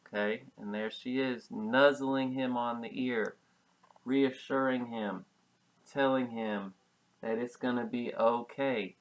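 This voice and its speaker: A male preschool teacher reading a picture book to young children. His delivery is slow and patient, with simple explanations and repeated key words. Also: medium pitch, steady tone, low-quality recording. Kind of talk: children's storybook read-aloud with simple explanations.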